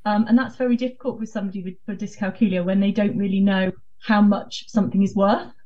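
A woman's voice: mid-range voice, steady tone, quick pace. Woman guest, distant dull sound. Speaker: interview guest. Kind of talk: explanatory interview talk.

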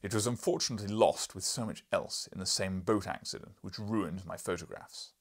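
Man acting pompous old professor, loud and gravelly. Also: low-pitched, a little fast. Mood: proud, declamatory. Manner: pompous, declamatory, lecturing. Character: imperious, irascible, scholarly older male professor explorer. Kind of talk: audiobook character reading.

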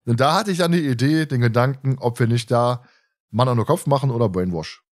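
A man's voice: mid-range voice, a little fast. A man hosting a podcast in casual chat, with a colloquial, jokey way of talking. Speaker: podcast host.

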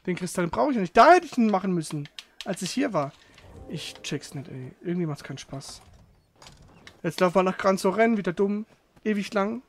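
A man's voice: high voice, lively intonation, brisk. A man chatting casually while streaming a game, grumbling in an exasperated, slangy way. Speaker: gamer streaming a playthrough. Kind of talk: casual gaming chat.

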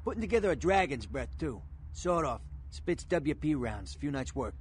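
A man's voice: fairly high pitch, sing-song, moderate pace, a little hiss or hum. A man voicing a street-wise, world-weary American underworld fixer, terse and matter-of-fact. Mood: matter-of-fact, guarded.

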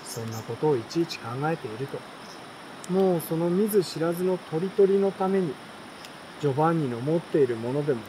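A young adult man reading a bedtime story aloud in a relaxed, unhurried way, with pauses between short phrases. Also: fairly high pitch, a little hiss or hum, poor audio. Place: outdoors at night beside a campfire. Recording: campfire, insects and stream behind voice.

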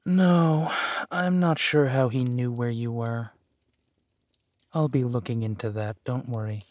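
An actor playing a protective villainous duke, tender and soothing, in an intimate audio roleplay. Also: male voice, mid-range voice, dry recording, natural voice. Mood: proud, menacing. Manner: gentle, soothing, unhurried.

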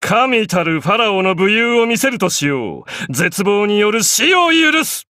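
A man's voice: sits high, sing-song, measured pace, pushed and bright, no room sound, studio quality, faint music behind, heavily acted. An actor playing a proud, imperious young pharaoh and godlike sun king in an anime game, speaking in grandiose, archaic phrases. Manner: pompous, grandiose, archaic speech.

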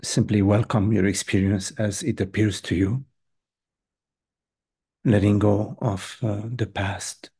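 A man leading a guided meditation as a teacher, speaking gently and hesitantly in short phrases with long pauses between them. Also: on the low side, steady tone, creaky, slightly rough. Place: live online group session.

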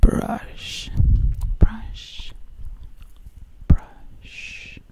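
A woman's voice: extremely slow, dark tone. A woman whispering breathily and soothingly, close to the microphone in ASMR style, repeating words over and over. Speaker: ASMR creator.

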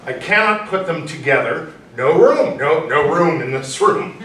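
Man playing a halting, childlike recluse, echoey room. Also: fairly high pitch, lively intonation, fairly bright tone. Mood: flustered. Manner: short, halting, fragmented phrases. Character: odd reclusive male outsider artist, childlike, fragmented. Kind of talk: staged play reading, theatrical acting. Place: small indoor performance room with audience. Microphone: far camera. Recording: echoey room, distant mic, faint noise.

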